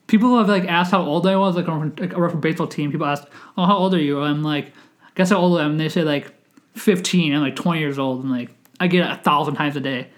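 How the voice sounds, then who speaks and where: A young man in his twenties with a notably high voice, hosting a casual podcast chat. He talks earnestly and rambles, doubling back and restarting his sentences as he goes. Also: fast talker. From Wisconsin, United States. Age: 20s. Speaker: podcast host.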